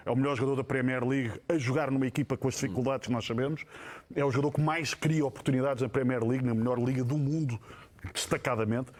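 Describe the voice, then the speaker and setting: A man with a European Portuguese accent giving his opinion in a football panel debate, emphatic and repeating phrases for stress. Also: medium pitch. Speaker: football pundit.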